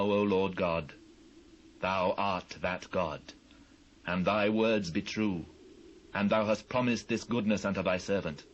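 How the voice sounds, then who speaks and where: An actor with a British accent playing a devout, gracious ancient king in a solemn, measured dramatised scripture reading. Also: low voice, dull audio. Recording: noisy old cassette transfer.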